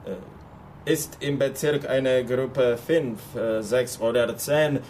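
Man playing slow, halting Czech policeman. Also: mid-range voice, darkish tone, slight background noise, natural voice. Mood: wry. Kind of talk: radio drama dialogue.

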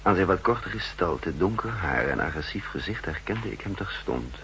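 An actor playing a self-assured spy who recounts his undercover life in measured, formal 1960s radio drama narration. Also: low-pitched, animated, a bit bright. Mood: dry, observant. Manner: measured, formal, literary storytelling. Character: self-assured male spy posing as a counterintelligence chief, recounting his story. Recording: 1960s radio recording with hum and noise.

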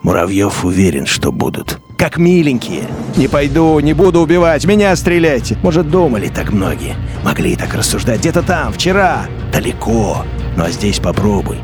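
Tense, expressive male narrator. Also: mid-range voice, moderate pace, music in the background. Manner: tense, expressive, rising and sinking emphasis. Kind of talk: dramatic literary narration.

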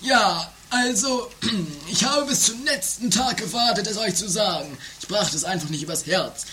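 A man acting a theatrical, authoritarian father who stammers and bursts into shouts in an improvised trash radio comedy. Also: high voice, lively intonation, moderate pace. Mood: evasive. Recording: noisy 1980s home-made radio play recording.

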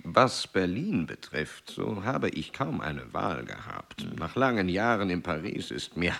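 An actor playing a pompous, meticulous aristocratic explorer, speaking formally and precisely in a German radio drama. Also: male voice, low voice, big pitch swings, unhurried, creaky, a bit bright, full character voice. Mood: hesitant, formal. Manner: formal, precise, self-important.

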